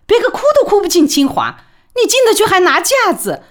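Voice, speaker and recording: A woman voicing a hot-tempered middle-aged mother who scolds her son in a shrill, high voice. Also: huge pitch swings, normal speed. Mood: furious.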